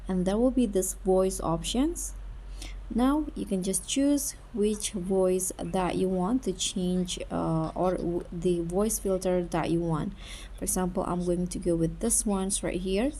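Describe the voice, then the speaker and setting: A woman's voice: on the low side, dark tone, a little hiss or hum. A woman hosting a how-to tutorial, narrating the steps in a casual, instructional way. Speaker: tutorial host.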